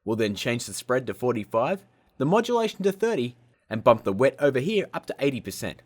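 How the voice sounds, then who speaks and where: A man hosting a casual software tutorial in a cheeky, self-mocking way, with jokey asides. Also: medium pitch, sing-song, a little fast. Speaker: host of a video-editing tutorial show. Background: music.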